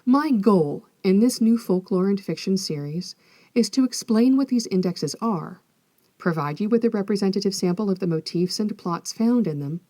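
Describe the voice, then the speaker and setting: A woman hosting an educational podcast, reading scripted narration in a measured, articulate, explanatory manner. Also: mid-range voice, mellow, no room sound. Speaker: podcast host, folklorist.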